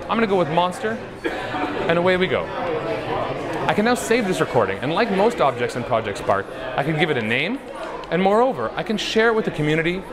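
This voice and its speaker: A man giving a live product demonstration in an upbeat, playful showman's manner. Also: on the high side. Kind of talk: live stage demo presentation. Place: busy trade show floor. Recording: loud crowd noise behind. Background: convention crowd.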